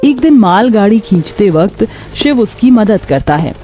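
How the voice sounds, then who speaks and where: A woman's voice: medium pitch, lively intonation, moderate pace, dark tone, faint music behind. A woman narrating a scripted cartoon story.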